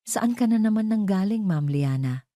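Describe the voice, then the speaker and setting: A woman's voice: medium pitch, animated, moderate pace, warm tone, no room sound, studio quality, pitched above the performer's own voice, natural voice. Woman playing a haughty, venomous mistress, darkly muted. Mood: venomous taunting. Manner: taunting, sneering. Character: spiteful pregnant mistress, haughty, desperate and venomous.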